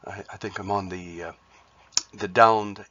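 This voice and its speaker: A man with a cold, sounding congested, presenting his artwork in a halting way with many fillers and restarts. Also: sits low, fairly even intonation, sharp tone, dull audio. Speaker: artist sharing his work. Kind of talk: casual spoken presentation.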